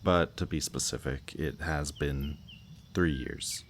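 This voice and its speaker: Casting: male actor as suave villain kidnapper for roleplay audio, extremely low voice, slow teasing menace, night birds and crickets behind. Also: steady tone, a little hiss or hum. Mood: wistful, coaxing. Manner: teasing, unhurried, softly menacing. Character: villain kidnapper with powers, smug, flirtatious, falsely romantic. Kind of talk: roleplay audio drama, intimate monologue to the listener. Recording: outdoor night bird and insect ambience.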